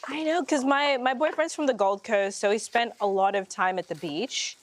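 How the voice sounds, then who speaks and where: A woman's voice: fairly high pitch, moderate pace. Laughing woman from Uganda. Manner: laughing, lively storytelling. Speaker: guest. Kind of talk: casual chat.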